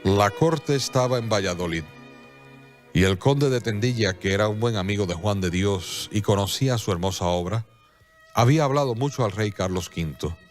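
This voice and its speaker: Male narrator for a religious radionovela: lowish voice, measured and solemn, light music underneath. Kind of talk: scripted radio drama narration.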